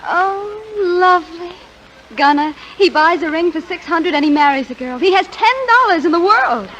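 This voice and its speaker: An actress playing a pilot's wry, anxious, devoted young wife in a 1940s radio drama. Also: female voice, very high-pitched, lively intonation, normal speed, fairly bright tone, dull audio. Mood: wry dismay. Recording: noisy old 1940s radio broadcast.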